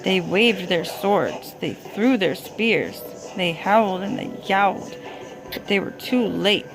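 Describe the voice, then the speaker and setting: A woman's voice: medium pitch, takes it slowly, over music, rough recording. A woman reading a children's storybook aloud to a small child, as a parent would.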